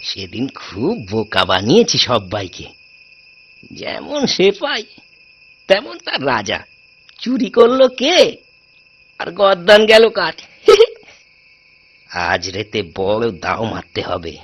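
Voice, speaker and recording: Cowardly thief, wildly swooping voice. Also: male voice, medium pitch, wildly swooping pitch, takes it slowly, pauses often, fairly bright tone, a little hiss or hum, heavily acted. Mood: smug, scheming. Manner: muttering, then wailing and pleading. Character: sly, cowardly male thief, easily terrified. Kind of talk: melodramatic cartoon voice acting. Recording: dull, band-limited sound with music behind.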